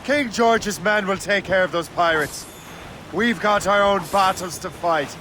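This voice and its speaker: An actor playing a terse, determined sea captain and assassin hunter in a video game cutscene. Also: male voice, sits high, unhurried. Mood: resolute. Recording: noisy game audio with ship ambience.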